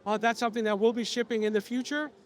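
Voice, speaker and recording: High-pitched hoarse man presenting. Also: quick pace, a little hiss or hum. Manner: steady explanatory delivery with frequent "uh" fillers and restarts. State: losing his voice, coughing now and then. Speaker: software company presenter. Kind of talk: product presentation to an audience. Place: presentation room at a music trade show.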